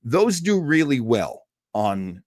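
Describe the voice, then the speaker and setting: A man's voice: medium pitch, sing-song, slow pace, no echo, clean studio sound. A man hosting a live-streamed show, chatting informally with viewers in a rambling way full of asides. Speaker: host of a live-streamed radio nostalgia show. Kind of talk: casual chat, reading out and answering live viewer comments.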